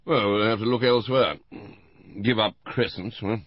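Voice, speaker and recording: A male reader acts out an older British colonel in an audiobook, gruff and avuncular. He teases and probes bluntly, with emphatic delivery. The sound is a bit muffled. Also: on the low side, fairly even intonation, moderate pace, dry recording, voice pushed up, lightly acted. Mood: brisk, probing.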